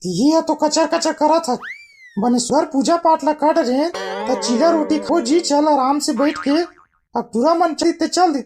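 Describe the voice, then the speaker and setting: A woman's voice: high voice, unhurried, darkish tone, faint music behind. A woman playing a coaxing, complaining village mother in a cartoon comedy, plaintive and bickering, with a Chhattisgarhi accent.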